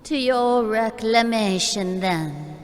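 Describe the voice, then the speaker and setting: Slow, echoing, solemn spirit played by a woman. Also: fairly high pitch, expressive. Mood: solemn, commanding. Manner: stately, formal, measured. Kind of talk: live radio play acting. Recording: long reverb, faint noise, live performance.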